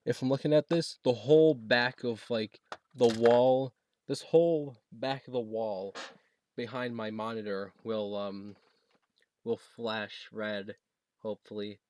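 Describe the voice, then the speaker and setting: A man talking casually and haltingly, thinking aloud as he demonstrates a gadget he is setting up. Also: mid-range voice, steady tone, darkish tone, no echo. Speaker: product reviewer demonstrating a gadget. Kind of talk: casual unscripted unboxing and setup demo.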